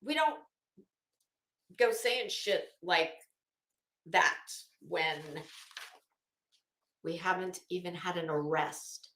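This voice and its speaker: Theatrical American woman with sweeping pitch. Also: expressive, a bit bright, roomy sound. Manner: theatrical, emphatic delivery with deliberate drawn-out phrasing and asides. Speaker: commentary show host. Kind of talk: dramatic reading of legal documents with casual commentary.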